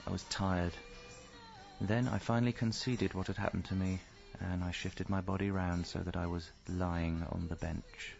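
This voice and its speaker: An actor playing a rueful, self-pitying middle-aged husband, narrating his misfortunes deadpan in a radio comedy. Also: low voice, gravelly. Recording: slightly dull audio, faint music.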